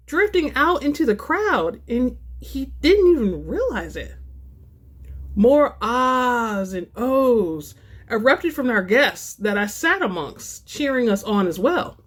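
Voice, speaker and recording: A woman reading romance fiction aloud as a host, with an expressive, theatrical delivery. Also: sits high. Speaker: audiobook-style reader and channel host.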